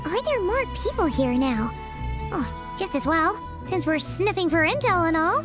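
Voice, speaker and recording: A woman voicing a tiny, excitable, girlish floating companion creature in a video game cutscene, bubbly, chatty and eager. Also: extremely high pitch, normal speed. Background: game music.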